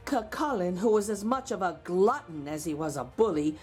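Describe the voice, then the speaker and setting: A woman's voice: on the low side, normal speed, darkish tone, soft background music. A woman storytime host narrating a picture book expressively, with chatty asides to young listeners. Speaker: storytime host reading aloud to children.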